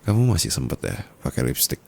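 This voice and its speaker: Man acting as rich doting husband, very low gravelly voice, slow breathy murmur, dominant, teasing, intimate ASMR romance roleplay. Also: wildly swooping pitch, darkish tone, a little hiss or hum, exaggerated voice. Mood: teasing, amused.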